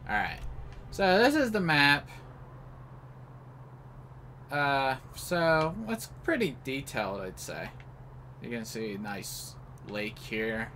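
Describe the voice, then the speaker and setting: A man's voice: medium pitch, expressive, slow, pauses often, sharp tone, a little hiss or hum. A man giving casual, rambling live game commentary in an offhand way. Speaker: gameplay host.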